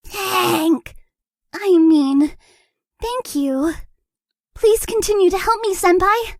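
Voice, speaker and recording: A woman's voice: very high voice, lively intonation, slow, no echo, clean studio sound, full character voice. A woman voicing a shy, sweet, lovestruck young ghost girl for a dating game, with a cutesy, bashful manner. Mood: bashful, grateful. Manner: cutesy, bashful, gushing, with hesitant breathy asides. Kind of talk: dating game character voice lines.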